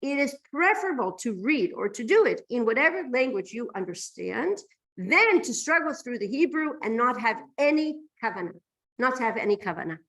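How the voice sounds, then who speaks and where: Lady giving a religion lecture, highish voice that swoops around, really emphatic, asks questions as she goes. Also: sing-song, normal speed, a bit bright, poor audio. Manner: emphatic, poses rhetorical questions and checks "right?". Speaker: teacher of a religious class. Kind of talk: lecture to a class, explaining texts.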